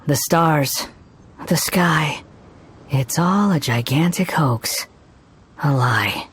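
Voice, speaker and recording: A woman's voice: sits low, animated, drawn-out words, breathy edge, heavily acted. A woman voicing an arrogant, volatile young male villain in a video game, sneering and theatrical, with music behind. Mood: ominous, dismissive. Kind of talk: scripted video game voice acting.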